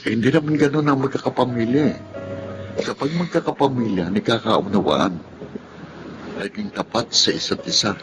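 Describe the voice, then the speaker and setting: A man playing a gentle, earnest, protective blind older brother in a Filipino radio drama. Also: mid-range voice, normal speed, long pauses, music in the background, lightly acted, poor audio. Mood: earnest, reassuring. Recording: clear background ambience noise.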